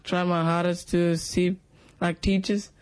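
A man with a high, level voice answers questions as a radio guest in a studio. He talks haltingly in simple words, filling gaps with "like" and "yeah". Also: flat delivery, clear, resonant tone. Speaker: interview guest.

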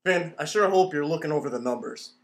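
An actor playing a demanding, imperious wealthy admiral who owns a station in a 1930s-style radio play. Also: male voice, fairly high pitch, quick pace, lightly acted. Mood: indignant.

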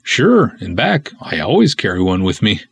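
A man plays an eager, folksy cop in a comic audio serial. He is earnest and dutiful, with a lively, swooping delivery and a slightly husky, low-pitched voice. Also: moderate pace, exaggerated voice. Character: eager, earnest Norwegian-American canoe cop named Sven, simple and dutiful. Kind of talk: comic first-person serial storytelling.

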